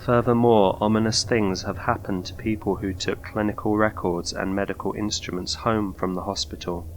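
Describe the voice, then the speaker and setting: A man narrating a scripted ghost story in documentary style, with measured, formal delivery. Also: fairly low pitch, steady tone, slight background noise, poor audio.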